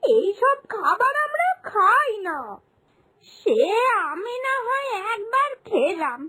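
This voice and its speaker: A woman's voice: extremely high pitch, sing-song, slow pace, pushed and bright, exaggerated voice. A woman voicing a worried, disapproving mother-in-law in a moral-story cartoon, with plaintive, fretful questioning.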